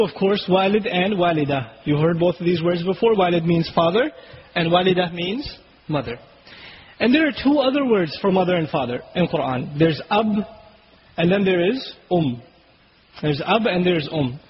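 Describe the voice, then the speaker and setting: Male preacher, native Urdu speaker, high voice, teaching Arabic word meanings; dull lo-fi recording with faint hiss. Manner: explains word meanings slowly, recites Quranic Arabic quickly. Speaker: Islamic preacher. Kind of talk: religious lecture.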